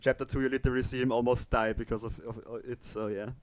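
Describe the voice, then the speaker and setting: A man's voice: mid-range voice, steady tone, quick pace, a crowd in the background. A man chatting casually and a little hesitantly on a livestream.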